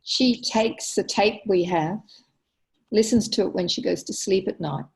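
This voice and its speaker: Gravelly woman chairing an online meeting. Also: medium pitch, rough recording. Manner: halting, full of ums and restarts. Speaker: meeting coordinator. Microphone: video call.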